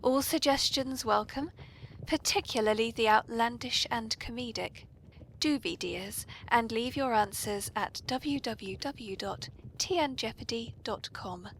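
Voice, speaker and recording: A woman's voice: on the high side, unhurried, fairly bright tone. A British woman hosting a comic tea-party podcast in a polite, genteel, playfully arch manner. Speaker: podcast host. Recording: poor, noisy amateur podcast recording.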